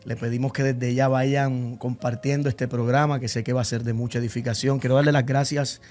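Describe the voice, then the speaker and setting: A man's voice: mid-range voice, little pitch movement, fairly quick, a little mellow. Middle-aged Puerto Rican man, guest pastor, earnest and friendly, faint music behind. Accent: Puerto Rican Spanish. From Puerto Rico. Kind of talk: casual testimony interview.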